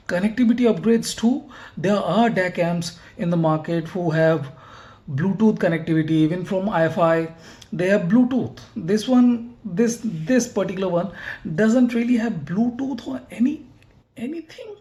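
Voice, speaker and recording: Higher-pitched man reviewing gear, halting. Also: dark tone. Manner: repeats words and phrases, halting delivery. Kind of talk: unscripted product review.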